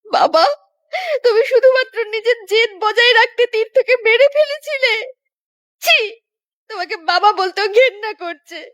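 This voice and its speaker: A woman voices a long-suffering young woman from a cartoon melodrama. Her voice is extremely high and girlish. She speaks plaintively and earnestly, as someone betrayed in love. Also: lively intonation, moderate pace, a bit bright. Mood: tearful, accusing. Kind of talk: animated melodrama acting.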